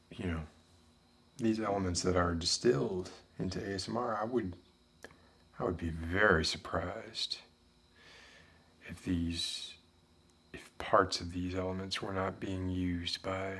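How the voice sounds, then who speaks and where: A man playing a world-weary, philosophical former detective with a Southern American accent, musing haltingly in an ASMR roleplay monologue. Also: sits low, fairly even intonation, a bit bright, a little hiss or hum, exaggerated voice. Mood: brooding, philosophical. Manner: deliberate, halting, musing, intellectual.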